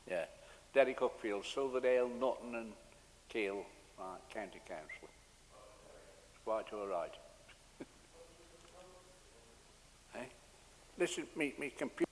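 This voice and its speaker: English man, councillor, slow lower voice, relaxed, reverberant chamber, faint hiss.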